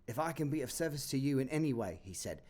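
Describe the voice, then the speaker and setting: Humble clerk father, tender and soft-spoken, a man voicing him. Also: mid-range voice, expressive, quick pace, voice pushed up, lightly acted. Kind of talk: audio drama acting.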